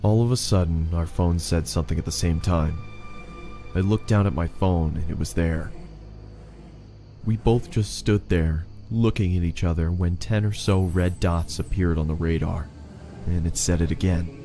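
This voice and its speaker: A man's voice: sits low, normal speed, dark tone, faint music behind. A man reads a scripted first-person horror story as its narrator.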